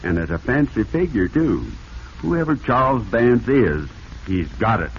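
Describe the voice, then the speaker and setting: An actor playing a brisk, avuncular publishing boss in 1940s radio style, emphatic and excitable in manner. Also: male voice, medium pitch, faint music behind. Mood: brisk, pleased. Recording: muffled, noisy vintage radio recording.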